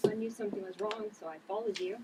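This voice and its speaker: A woman acts out a tough, defensive sister in a drama script. She talks bluntly and with slang, in a mid-pitched voice, a little away from the mic with clear noise behind her. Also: steady tone, fast talker, recorded from a distance, close to an everyday voice. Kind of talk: table read of a drama script.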